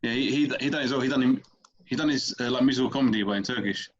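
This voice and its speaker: A man chats casually as a comedian guest on a podcast, rambling through his stories and stumbling over repeated words. His voice sits at an ordinary pitch. The recording sounds a little dull and muffled. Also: quick pace.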